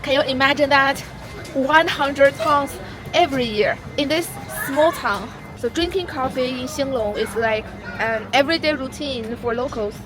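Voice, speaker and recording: A woman travel vlogger chats lively on location in a small-town coffee shop, talking straight to the viewer. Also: high-pitched, moderate pace, over music, poor audio. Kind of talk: casual on-location commentary.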